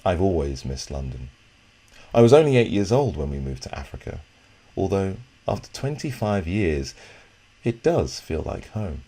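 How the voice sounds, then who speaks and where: Man reading as a gentle, expressive storybook woman. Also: sits low, normal speed, husky, heavily acted. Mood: reflective. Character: Josephine, gentle adult woman in her thirties, settled expatriate.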